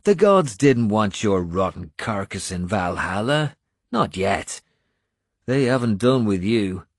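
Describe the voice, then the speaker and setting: A man voicing a blunt, gruff Irish male warrior with an Irish accent, scolding and coarse. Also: lowish voice, very animated, slow, no echo, studio quality, full character voice.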